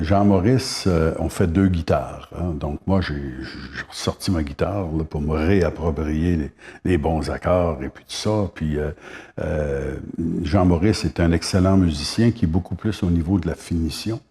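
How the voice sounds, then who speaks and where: A man's voice: deep voice, warm tone. A man in his seventies from Quebec's Gaspé Peninsula with a Québécois French accent, a singer-songwriter chatting as a guest and reminiscing unhurriedly with many hesitations. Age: 70s. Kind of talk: casual interview chat.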